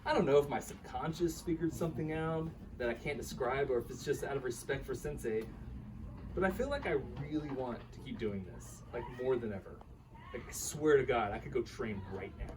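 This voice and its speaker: A man's voice: mid-range voice, fairly quick, natural voice. A man playing an earnest, bemused karate student telling his partner a story in a live radio play reading. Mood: reflective, earnest. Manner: animated, chuckling, quotes his teacher's dialogue. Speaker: reader in a staged radio play. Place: live reading at a bookshop event. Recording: distant microphone with faint room noise.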